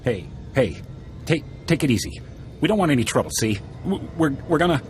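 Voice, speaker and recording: An actor playing a bullying, leering thug in a video game. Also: male voice, on the high side, very animated, fairly quick, faint music behind. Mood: nervous backing down. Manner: taunting.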